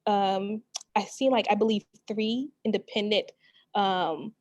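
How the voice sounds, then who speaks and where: Woman, casual chatty talk, encouraging, video call audio, average pitch. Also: measured pace, a little mellow. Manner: chatty, encouraging, frequent "you know what I mean". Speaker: guest speaker, startup co-founder.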